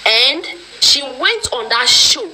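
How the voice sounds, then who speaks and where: A woman's voice: high-pitched, big pitch swings, slow pace, a crowd in the background, poor audio. A woman with a Nigerian accent speaking passionately and accusingly in a casual online discussion, leaning on proverbs. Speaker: discussion participant.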